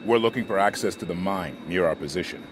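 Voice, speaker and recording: An actor playing a disciplined, composed military squad leader in a video game, clipped and commanding but formally polite. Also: male voice, deep voice, fast talker, noisy background, natural voice. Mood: composed, firm. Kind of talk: video game cutscene acting.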